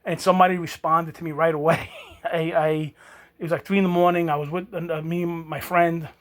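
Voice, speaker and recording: A man's voice: on the high side, flat delivery, a little fast, fairly bright tone. A man, casually showing an old item and rambling with many restarts. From New York. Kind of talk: casual show-and-tell.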